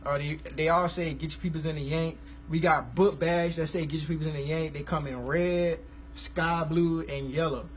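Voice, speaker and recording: A man, hosting a casual live stream about gospel music, talking in a relaxed, rambling, slangy way. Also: fairly high pitch, moderate pace, slight background noise. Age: 20s.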